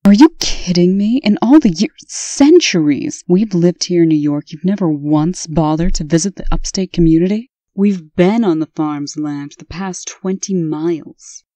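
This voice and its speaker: Strict commanding woman acted, low mellow voice, no-nonsense, dry recording. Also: moderate pace, dry recording, natural voice. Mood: exasperated. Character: strict, commanding, no-nonsense female official, older sister. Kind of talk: comic dub voice acting.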